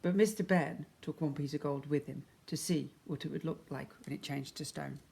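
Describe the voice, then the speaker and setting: A man's voice: a little high, steady tone, normal speed, vocal fry, darkish tone, husky. Man reading a children's story aloud. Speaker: primary school teacher.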